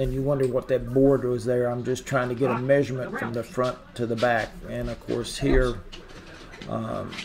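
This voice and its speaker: A man's voice: mid-range voice, creaky. Middle-aged man, hobby welder, easygoing rambling narration, camera mic off across a noisy workshop. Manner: rambling, many uhs and ums, plain-spoken. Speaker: hobby welder and channel host. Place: large open garage workshop. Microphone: camera mic at a distance, close selfie at start. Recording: workshop noise behind, distant mic.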